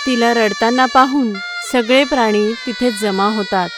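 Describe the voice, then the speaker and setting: Very high-voiced woman narrating a story. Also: a little slow, music in the background. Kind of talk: scripted children's story narration.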